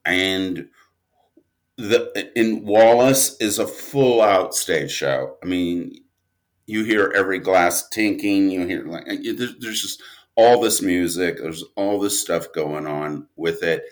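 Low-pitched, slow-talking man, casual and rambling. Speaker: interview guest, audio drama writer and producer. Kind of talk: casual reflective conversation.

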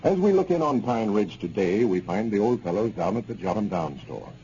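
A man's voice: fairly low pitch, very animated, brisk, warm tone. A man with an American accent delivering scripted narration as a 1930s radio announcer. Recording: muffled, noisy 1930s radio recording.

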